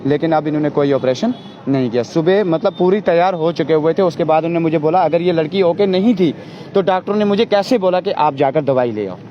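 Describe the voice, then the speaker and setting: A man's voice: on the high side, a little hiss or hum. A man and father pleading urgently outdoors about his sick baby's treatment, in a news interview. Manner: urgent, pleading, rapid complaints. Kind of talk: distressed street interview. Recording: dull lo-fi outdoor news audio.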